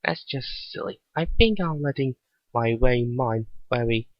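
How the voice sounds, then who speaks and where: Man voicing young female mechanic, storybook character, curious, earnest, halting delivery, dull lo-fi audio. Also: medium pitch, lively intonation, no room sound, close to an everyday voice. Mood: musing. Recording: dull lo-fi recording.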